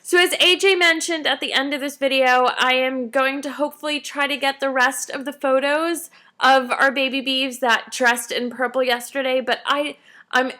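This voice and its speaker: A woman's voice: high voice, fairly even intonation, normal speed, bright, forceful tone. A woman in her late twenties, a tired vlogger chatting casually and self-deprecatingly to camera. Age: 20s.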